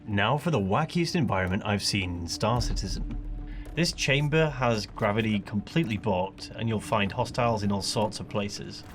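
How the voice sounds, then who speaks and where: A man's voice: lowish voice, moderate pace. A man who creates gaming videos, speaking as narrator and player with casual gamer slang. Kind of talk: scripted gameplay narration mixed with live in-game chatter. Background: music.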